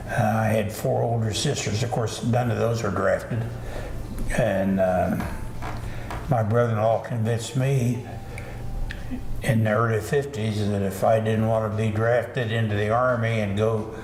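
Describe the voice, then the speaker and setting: A man's voice: on the low side, fairly even intonation, unhurried, noisy background. Older retired airman, matter-of-fact, roomy echo. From Ohio, United States. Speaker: veteran guest. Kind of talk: recalling his life story.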